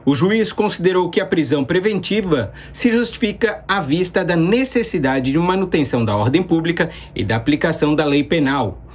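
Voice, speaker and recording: A man in his thirties reads a news report in a measured, formal newsreader manner. His voice sits a little high for a man.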